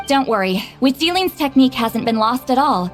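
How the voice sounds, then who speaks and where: A woman's voice: high-pitched, animated, normal speed. A woman playing a confident, aloof young female shaman in an anime-style video game, composed and dry. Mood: assured. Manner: composed, dry, self-assured. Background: faint game music.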